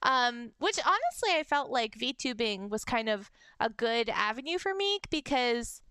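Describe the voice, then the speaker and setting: A young adult woman with a high-pitched voice, chatting casually on a livestream and reading fan messages, bubbly and giggly. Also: very animated, a little slow, a bit bright, clean tone, dry recording, studio quality. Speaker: VTuber streamer.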